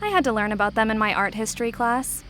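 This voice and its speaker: An actress playing a bookish young student, explanatory and matter-of-fact, in an audio drama. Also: female voice, on the high side, animated, fast, a bit bright, a little hiss or hum. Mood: knowledgeable. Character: bookish young female art history student. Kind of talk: natural audio drama dialogue. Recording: faint ambience behind.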